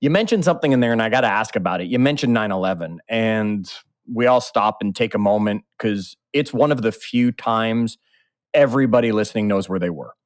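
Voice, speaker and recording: A man with a lowish voice hosts a podcast interview, recorded close and dry with no room sound. He asks earnest, drawn-out questions in a friendly tone. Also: animated, no room sound. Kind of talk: interview questions, casual chat.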